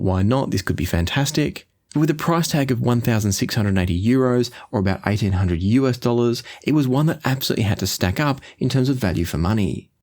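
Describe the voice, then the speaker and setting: A man hosting an audio gear review, explaining things in a measured, orderly way. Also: mid-range voice, darkish tone. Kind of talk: product review to camera.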